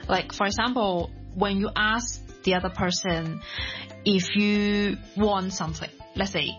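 A woman from Hong Kong hosting a casual interview, lively and quick to laugh. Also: mid-range voice, highs cut off, soft background music. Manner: lively, laughs often, many backchannels. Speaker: host.

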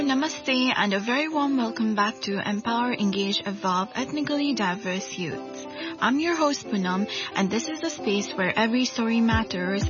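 A woman's voice: medium pitch, lo-fi sound. A woman hosting a radio interview show, delivering prepared introductions and questions to her guest.

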